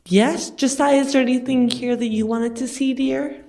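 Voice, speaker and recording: A woman's voice: high-pitched, steady tone, moderate pace, vocal fry, mellow, faint music behind, pitched above the performer's own voice. Woman playing a gushing, pushy would-be adoptive mother. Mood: sugary. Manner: effusive, insistent, sugary.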